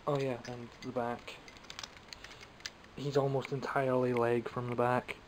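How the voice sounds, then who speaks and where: A young man with a Scottish accent talks off the cuff from behind a home camera, dry and self-mocking as he rambles. His voice sits at an ordinary middle pitch and sounds a little phlegmy. A faint hiss runs under the recording. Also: level tone, a little slow. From Scotland. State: phlegmy, coughing. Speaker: toy collector vlogger. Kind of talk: casual unscripted toy haul chat. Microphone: camera mic, speaking from behind the camera.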